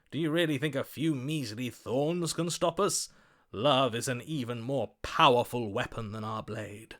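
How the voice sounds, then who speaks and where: An actor playing a lovestruck, gallant romantic hero in a video game, speaking in a florid, swooning, melodramatic way. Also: male voice, medium pitch, a bit bright. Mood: fervent, pleading. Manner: florid, swooning, dramatic delivery. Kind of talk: theatrical video game voice acting.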